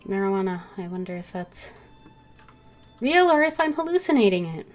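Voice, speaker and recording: A woman's voice: medium pitch, sing-song, normal speed, soft background music. Woman muttering through a game stream. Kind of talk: casual live gameplay commentary. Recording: faint keyboard noise behind.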